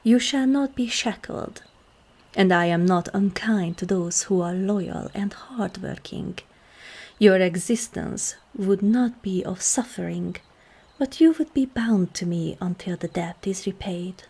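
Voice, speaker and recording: A woman playing a seductive, regal demon queen in an ASMR roleplay, calm and commanding, with slow, formal speech that often drops into breathy whispers. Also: mid-range voice. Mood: measured, enticing. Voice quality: breathy, husky.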